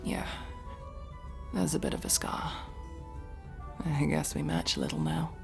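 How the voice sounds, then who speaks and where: Guarded, tender elf mercenary played by a woman for ASMR roleplay: creaky, whispery, unhurried, expressive. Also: a bass voice, over music, heavily acted. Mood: flustered, affectionate. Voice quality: creaky, often dropping to a breathy whisper. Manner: slow, halting, sweary. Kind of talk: intimate ASMR roleplay acting.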